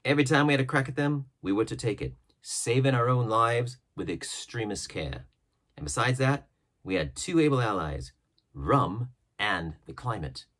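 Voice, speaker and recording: A man with a husky voice narrating a classic adventure novel as an expressive storybook reading. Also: medium pitch, normal speed. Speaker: audiobook narrator.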